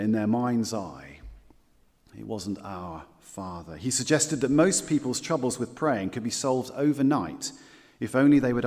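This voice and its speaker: A man preaching a sermon as pastor, earnest and measured, repeating key phrases and slowing down for scripture quotes. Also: lowish voice, a little mellow, in a large hall.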